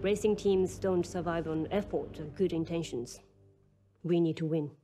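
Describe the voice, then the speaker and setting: A woman's voice: on the low side, fairly even intonation, normal speed, over music, close to an everyday voice. A woman playing a serious, competitive lead racing driver in documentary-style game scenes, speaking matter-of-factly. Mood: firm, serious. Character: guarded, competitive female lead racing driver in her prime.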